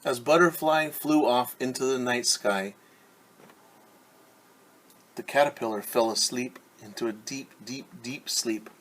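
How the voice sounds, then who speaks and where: A male teacher reads a picture story aloud to young children. His voice is husky and his pace unhurried, as he gently explains and puts questions to his listeners. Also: mid-range voice, steady tone, low-quality recording. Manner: gentle, patient, asks questions.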